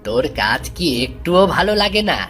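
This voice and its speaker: A man's voice: high-pitched, very animated, moderate pace, a bit bright, soft background music. A man playing a grumpy, demanding uncle in a cartoon tale, impatient, bossy and nagging. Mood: scolding. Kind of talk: animated moral tale voice acting.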